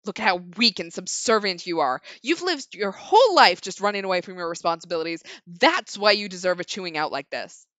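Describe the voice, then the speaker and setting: A woman's voice: on the low side, lively intonation, fairly quick, hardly any pauses, fairly bright tone, dry recording. A woman voicing a stern older woman who scolds harshly, with a cutting tone and a raised voice.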